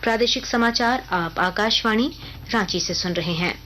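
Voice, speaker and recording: A woman's voice: medium pitch, bright, forceful tone, slight background noise, low-quality recording. Woman reading a formal radio news bulletin. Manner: formal, measured announcing. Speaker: news reader.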